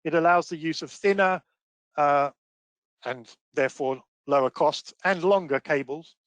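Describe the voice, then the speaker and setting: A man presenting a technical webinar as a trainer, explaining step by step with examples in a measured way. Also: fairly high pitch, a bit bright, no echo.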